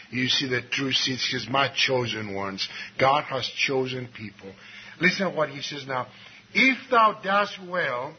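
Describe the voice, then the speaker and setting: A man preaching a sermon, swinging between low asides and raised exclamations and reading scripture slowly and deliberately. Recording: dull lo-fi recording with faint noise.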